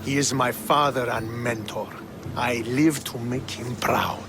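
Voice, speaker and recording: An actor playing a stern, proud young Spartan military commander in a video game, speaking formally and with earnest authority. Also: male voice, mid-range voice, steady tone, measured pace, natural voice. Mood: proud, devoted. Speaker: video game character, army officer. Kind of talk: dramatic video game cutscene acting. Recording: game soundtrack music behind the voice.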